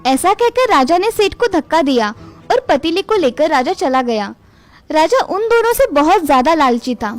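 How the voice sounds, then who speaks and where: Female storyteller, notably high pitch, scripted children's tale narration, light music behind. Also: very animated, normal speed.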